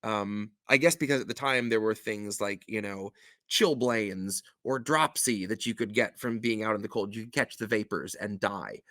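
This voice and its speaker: Guy reading holiday storybook stuff, lowish creaky voice, dry close sound, jokey and casual. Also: moderate pace, fairly bright tone, no echo. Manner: wry, jokey, swears casually. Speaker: show host and storybook reader.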